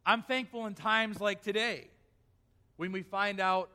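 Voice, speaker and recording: A man's voice: sits high, fairly even intonation, measured pace, pushed and bright, in a large hall. A male pastor preaching a sermon in a church, reading scripture aloud and then pressing his point with rising emphasis.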